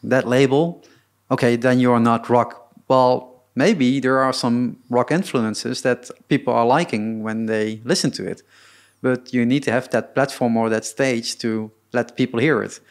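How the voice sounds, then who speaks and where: A man hosting a casual interview, relaxed and talkative, often stumbling over his words. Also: mid-range voice, a little slow. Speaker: interview host.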